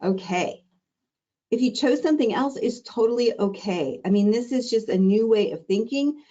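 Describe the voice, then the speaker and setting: A middle-aged woman, a diabetes educator, teaching an exam-prep webinar in a warm, conversational, encouraging way. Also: fairly high pitch, normal speed, muffled recording. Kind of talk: live online exam-prep lesson.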